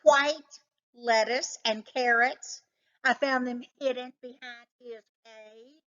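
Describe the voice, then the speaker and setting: A woman voicing an excitable, suspicious male house cat in a storybook, talking in an emphatic, condescending know-it-all way. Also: on the high side, slow pace, muffled recording, pitched above the performer's own voice. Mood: smug, dramatic.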